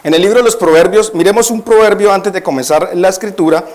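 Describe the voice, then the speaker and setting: A man's voice: sits high, quick pace, a little mellow, slight background noise. A man preaching as a guest in a church, at first nervous, then emphatic, often putting questions to the congregation. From Colombia. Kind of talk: church sermon.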